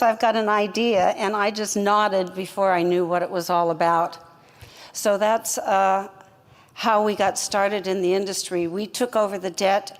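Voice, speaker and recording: An older woman, a company co-founder, telling her story in an interview in a measured, plainspoken way. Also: on the low side, big-room sound.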